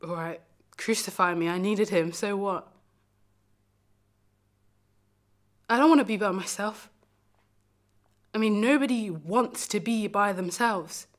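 A woman performing a dramatic monologue as a lonely, heartbroken young lover, confessional and emphatic, moving between hushed and forceful delivery. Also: lowish voice, a little slow, pauses often. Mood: indignant, pleading. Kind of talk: dramatic stage monologue, self-taped acting showreel.

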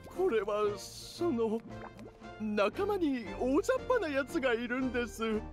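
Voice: mid-range voice, very animated, slow pace, music in the background. An actor voicing a fussy, polite young origami squirrel worker in a fantasy game. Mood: polite, flustered. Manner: polite to guests, exasperated with mate. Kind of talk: animated game voice acting.

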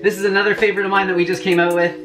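A man's voice: high voice, flat delivery, racing, over music. A young man hosting a cooking vlog from his small home kitchen, talking to the camera in an upbeat, chatty way. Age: young adult. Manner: upbeat, chatty, addresses viewers as "you guys". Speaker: cooking channel host.

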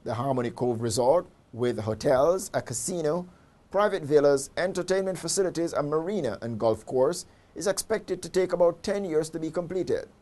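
Jamaican man, forties, news presenter, slightly high voice, formal measured delivery, scripted report, studio lapel mic. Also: lively intonation, a little mellow. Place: indoor studio.

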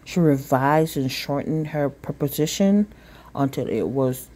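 Female storytime reader, slow and deliberate, with a mellow tone for children's picture books. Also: a bass voice. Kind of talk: children's picture book read-aloud.

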